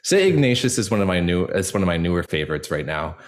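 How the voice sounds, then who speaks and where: A man speaking casually as a podcast guest, halting and informal, with an enthusiastic manner. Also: sits low, very animated. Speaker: podcast guest, actor and audio drama producer. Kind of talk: casual interview chat.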